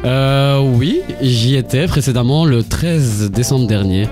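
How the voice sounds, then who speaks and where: A man's voice: mid-range voice, lightly acted. A man playing a coy female violinist. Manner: playful, teasing, drawn-out answers. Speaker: radio co-host. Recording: radio studio with music underneath.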